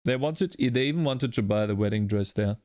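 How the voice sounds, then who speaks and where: A man chats casually while coding on a live stream, thinking aloud and greeting viewers one by one. His voice sits at an ordinary mid pitch. The sound is close and dry, with keyboard typing heard behind him. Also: a little fast, a little mellow, dry recording. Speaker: livestreaming game developer.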